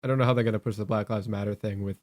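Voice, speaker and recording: Low-voiced man in casual political chat. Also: steady tone, rapid-fire, a little mellow, no echo, studio quality. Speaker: talk show co-host.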